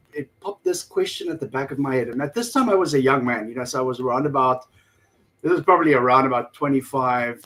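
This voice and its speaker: This is a South African man in his forties, a guest telling his story in a casual interview. He rambles and hesitates, filling gaps with ums and you-knows. His voice sits at an ordinary middle pitch for a man. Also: poor audio. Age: 40s. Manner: rambling, hesitant, many fillers. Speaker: guest activist.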